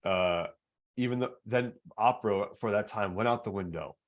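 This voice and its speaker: A man with a baritone voice, hosting a podcast and telling his own story earnestly, stumbling over repeated words. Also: normal speed. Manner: earnest, repetitive restarts. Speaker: podcast host. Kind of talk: personal storytelling in interview.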